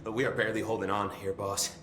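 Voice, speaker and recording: An actor playing a weary, wisecracking security chief in a video game, colloquial and sardonic. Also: male voice, lowish voice, little pitch movement, fast talker, natural voice. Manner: sardonic, colloquial, dry gallows humour. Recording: echoey room with clear background noise.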